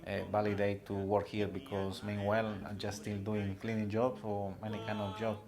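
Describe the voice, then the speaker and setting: A man from Latin America, speaking halting, plain English as a migrant worker in a documentary interview. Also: fairly low pitch, completely flat delivery, crowd noise.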